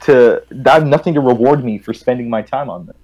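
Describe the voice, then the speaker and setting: Man with a mid-pitched, conversational voice. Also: fast talker, darkish tone, highs cut off. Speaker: gaming stream panelist. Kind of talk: casual game discussion.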